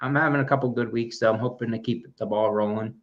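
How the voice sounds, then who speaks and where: A man's voice: mid-range voice, steady tone, fast, lo-fi sound. A man co-hosting a running podcast in casual chat, goofy and full of corny jokes delivered with mock pride. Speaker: podcast co-host.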